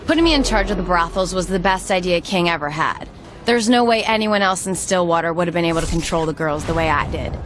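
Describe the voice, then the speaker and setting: A woman's voice: fairly low pitch, expressive, quick pace, music in the background, close to an everyday voice. A woman acting a proud, ruthless and resentful crime madam in a video game, cold and self-important.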